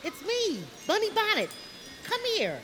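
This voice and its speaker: An actress playing a chirpy, rambling, gossipy neighbour in an audio drama, with a sing-song, chatty manner. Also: female voice, extremely high pitch, huge pitch swings, measured pace, full character voice. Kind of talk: audio drama character acting.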